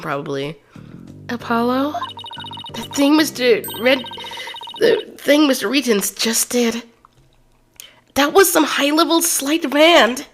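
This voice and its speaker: Slightly hoarse, chatty woman streamer. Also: on the high side. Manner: chatty, casual, thinking aloud. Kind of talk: live game playthrough commentary.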